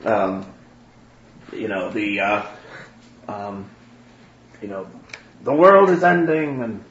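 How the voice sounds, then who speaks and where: A man running a tabletop game as game master, talking in a halting way with many restarts. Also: lowish voice, a bit bright, a little hiss or hum. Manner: halting, full of fillers and restarts. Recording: dull, echoey room recording.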